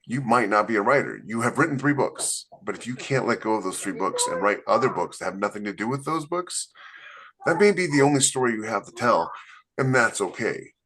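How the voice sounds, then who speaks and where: A man's voice: on the low side, animated, a little fast, fairly bright tone, slightly rough, poor audio. A man co-hosting a writing podcast, blunt and opinionated in casual chat. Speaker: podcast co-host, author.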